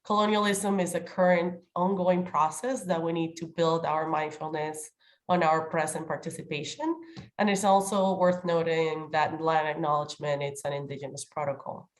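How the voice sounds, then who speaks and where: A woman's voice: sits low, fairly even intonation, normal speed. A woman chairing an online committee meeting in a formal, welcoming role, slightly nasal as she gets over a cold. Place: remote video call.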